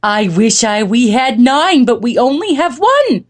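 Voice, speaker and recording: Mother cat acted by a woman for a storybook: weary, admonishing, emphatic, with lively swoops. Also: on the high side, measured pace, pitched above the performer's own voice. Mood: scolding. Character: frazzled, scolding mother cat.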